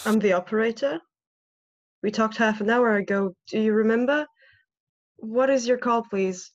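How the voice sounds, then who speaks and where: A woman's voice: fairly high pitch, normal speed, dull audio, lightly acted. A young woman playing a formal, falsely polite telephone operator in a drama. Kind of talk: radio-play acting over video call.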